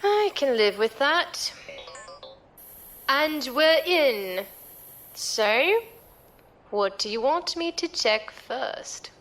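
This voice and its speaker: A woman's voice: sits high, expressive, very slow, pauses often, a bit bright, soft background music, exaggerated voice. An actress playing a curious, playful female code breaker and pirate sidekick in an audio drama, with a questioning manner. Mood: playful, pleased.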